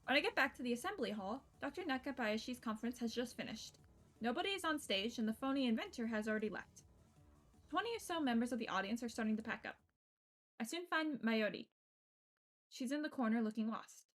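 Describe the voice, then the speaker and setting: A woman streamer reading a visual novel aloud and adding casual, playful, expressive commentary. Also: on the high side, a little fast, soft background music. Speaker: game streamer.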